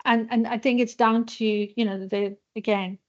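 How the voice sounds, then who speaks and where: A woman from a patient charity giving a conference talk in a hospital lecture hall. She pauses often, with many fillers and restarts. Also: a little high, steady tone. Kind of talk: conference presentation. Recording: dull lo-fi sound.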